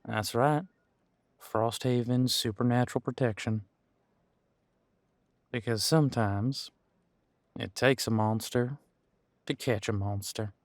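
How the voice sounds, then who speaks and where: A man acts out a veteran lawman of a supernatural police squad in an audio drama. He is friendly and folksy, talking in an easygoing, halting, chatty way at a middling pitch. The sound is dry and close. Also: steady tone, dry recording. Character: friendly, folksy, long-serving supernatural squad cop, older than he looks. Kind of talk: conversational audio roleplay to an unseen partner.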